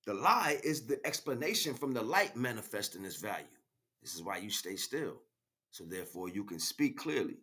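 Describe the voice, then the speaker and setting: An American man giving an emphatic, freestyle spiritual monologue in street slang, repeating phrases as he goes, with a husky voice. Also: on the low side, big pitch swings, normal speed, pushed and bright. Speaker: spiritual livestream speaker.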